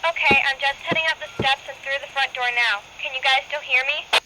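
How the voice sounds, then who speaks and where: A woman's voice: high-pitched, steady tone, fairly quick. A young female performer playing a plucky girl explorer, heard over a walkie-talkie in a radio drama. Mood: nervous but brave. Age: child. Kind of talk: amateur radio drama acting. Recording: noisy crackly walkie-talkie sound.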